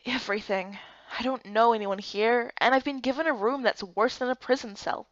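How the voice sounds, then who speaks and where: Woman playing a weary young heroine, plain-spoken, plaintive, high-pitched, slightly dull recording. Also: normal speed, no echo, natural voice. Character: weary, frustrated young heroine of a fantasy game.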